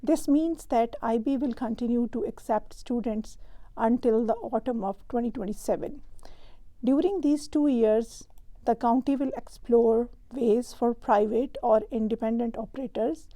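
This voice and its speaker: A woman host from Pakistan, reading a prepared explainer in a measured, even way. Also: fairly high pitch, dry recording, rough recording. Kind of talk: scripted explainer narration.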